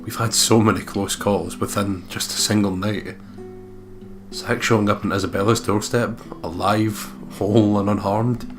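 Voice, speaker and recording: Low-voiced man reading a story aloud, music behind. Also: fairly even intonation, normal speed. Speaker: let's play host. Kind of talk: let's play: reading a visual novel aloud with banter. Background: guitar music from the game.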